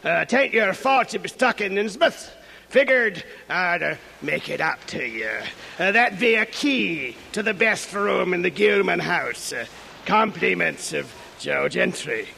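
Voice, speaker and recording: An actor playing a shifty small-town bus owner in a radio horror drama, falsely helpful, with a raspy creak and hesitant rustic dialect. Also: male voice, high-pitched, animated, unhurried, pushed and bright, a little hiss or hum, full character voice. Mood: oily, ingratiating.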